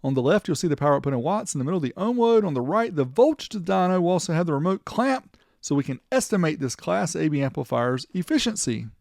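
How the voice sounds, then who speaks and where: A man's voice: a little high, very animated, fast, vocal fry, darkish tone, dry recording. A man hosting a product test video, explaining each step and reading out results as he demonstrates. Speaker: host of a car audio amplifier test video. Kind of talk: demonstration narration with test readouts.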